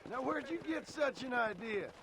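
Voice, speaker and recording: An actor with an American accent playing a weary, polite Old West outlaw in a video game, speaking tersely and dryly. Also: male voice, very high voice, lively intonation, normal speed, rough recording. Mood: dry, courteous. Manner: terse, dry, courteous. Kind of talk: video game character acting.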